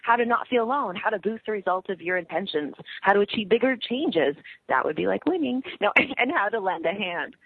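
A woman's voice: brisk, slightly rough, dry recording. A woman co-hosting a radio talk show, bubbly and chatty, laughing often as she talks over a phone line. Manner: bubbly, laughs often, lively pitch swings. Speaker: co-host.

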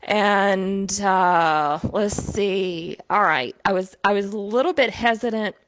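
A woman's voice: medium pitch, slow, no echo. A middle-aged woman with a Southern US accent hosting her own show as a psychic medium, talking in a casual, folksy way with lots of "you know.". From southern United States. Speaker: podcast host, psychic medium. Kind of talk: casual solo monologue. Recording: dull, dry, slightly lo-fi.

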